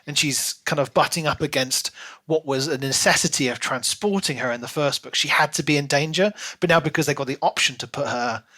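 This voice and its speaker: A man's voice: on the high side, fairly quick, pushed and bright. A man co-hosting a podcast, talking casually through a book and acting out bits as he explains. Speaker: podcast co-host.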